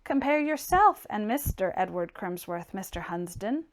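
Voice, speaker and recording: Woman reading a quiet, reserved young clerk. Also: mid-range voice, sing-song, moderate pace, lightly acted. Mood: polite, guarded. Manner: restrained, soft-spoken, formal. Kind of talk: dramatized audiobook character reading.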